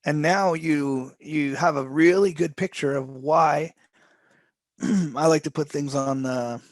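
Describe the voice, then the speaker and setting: A man teaching a live trading session, his voice going hoarse as he talks, with loose, rambling phrasing, restarts and frequent throat clearing. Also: fairly high pitch, steady tone, takes it slowly, dry recording. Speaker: trading instructor.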